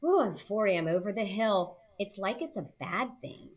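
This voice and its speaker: A woman's voice: mid-range voice, big pitch swings, moderate pace, lo-fi sound. A woman in her late forties speaking conversationally as a podcast guest, reflective and often adding short check-in questions. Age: late 40s. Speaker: podcast guest, senior healthcare leader. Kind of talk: conversational interview, personal storytelling.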